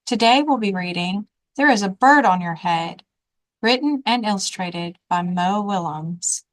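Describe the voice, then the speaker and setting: A woman's voice: medium pitch, expressive, unhurried, low-quality recording. A woman, a librarian introducing a children's read-aloud. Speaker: librarian, storytime reader.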